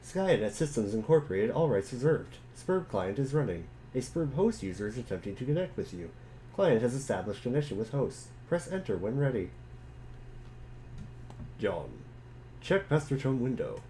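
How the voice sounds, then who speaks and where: A man's voice: medium pitch, very animated, normal speed, dark tone, slight background noise. A man co-reading an amateur webcomic dramatic reading, giving commands and character lines. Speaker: co-reader.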